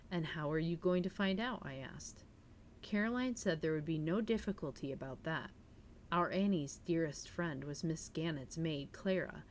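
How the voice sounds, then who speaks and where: A woman's voice: low voice, darkish tone, a little hiss or hum. A woman hosting a livestream, reading aloud in a storytelling style and chatting informally with her viewers. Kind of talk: storytelling read-aloud and casual stream chat.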